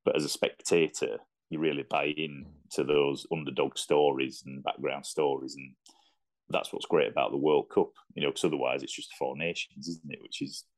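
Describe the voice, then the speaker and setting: Very deep-voiced Yorkshire man. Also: steady tone, moderate pace. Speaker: podcast guest, sports fan. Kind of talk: casual sports discussion.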